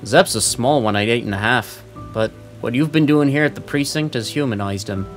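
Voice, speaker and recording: A man's voice: medium pitch, moderate pace, soft background music, lightly acted. A man playing a brusque, wisecracking veteran police sergeant in a noir audio drama, slangy and no-nonsense. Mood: wry, friendly.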